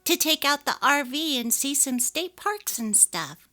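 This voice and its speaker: An earnest young mother, acted by a woman, speaks in a high, crisp-edged bright voice. She is resolute and emphatic, heartfelt in her dramatic story reading, with music playing softly behind. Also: big pitch swings, unhurried, exaggerated voice. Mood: content.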